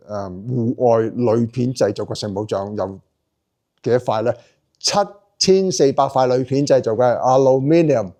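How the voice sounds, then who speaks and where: A man from Hong Kong whose first language is Cantonese, a travel vlogger talking in an easygoing, chatty way with frequent filler pauses. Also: medium pitch, mellow. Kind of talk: casual travel narration.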